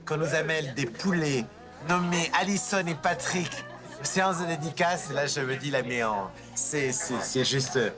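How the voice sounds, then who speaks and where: A man with a slightly high voice talks casually and emphatically, often repeating his words. Music plays behind him. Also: a little slow. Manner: repetitive, emphatic. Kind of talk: casual chat.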